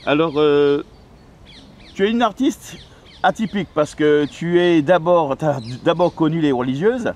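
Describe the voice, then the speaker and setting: Higher-pitched man hosting an interview. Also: darkish tone. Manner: friendly, halting with frequent "euh" fillers. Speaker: interviewer and host. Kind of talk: casual interview. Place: outdoors in a city park. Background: faint outdoor ambience with birds and traffic.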